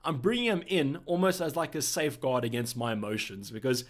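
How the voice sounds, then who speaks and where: A man's voice: medium pitch, fairly quick. Chatty man hosting a livestream. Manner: relaxed, chatty, many fillers and restarts. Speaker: livestream host. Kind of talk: casual chat answering viewer questions.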